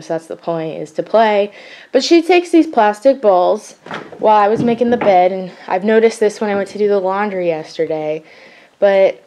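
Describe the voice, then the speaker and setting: A woman's voice: medium pitch, dark tone. Chatty young woman vlogging, noisy recording. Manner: rambling, chatty self-narration. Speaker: family vlogger, mother of a baby. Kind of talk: casual chat to camera. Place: at home, indoors. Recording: clearly noisy home recording with clicks.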